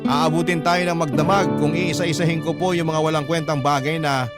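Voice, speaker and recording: A man's voice: on the high side, fairly even intonation, brisk. A Filipino man narrating a scripted first-person story for a radio programme, as if reading out a letter. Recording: prominent guitar music under voice. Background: guitar music bed.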